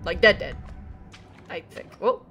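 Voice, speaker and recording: A woman giving casual, excitable live commentary as she reacts to a game, swearing freely and exclaiming. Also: fairly low pitch, unhurried, faint music behind.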